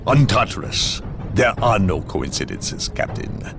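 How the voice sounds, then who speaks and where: An actor playing a stern, secretive inquisitor in a video game cutscene, speaking in a haughty, grave, deliberate way. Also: male voice, fairly low pitch, very animated, creaky, exaggerated voice. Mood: grave, foreboding. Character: stern, secretive male imperial inquisitor hunting demons. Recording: music behind the voice.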